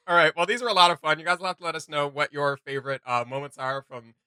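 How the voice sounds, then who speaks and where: A man with a fairly high, very bright voice hosts a casual reaction video. He rambles informally through his thoughts, and the sound is dry and close. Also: fairly even intonation, quick pace, smooth, no room sound. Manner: rambling, giggly, informal, many "like" and "you know".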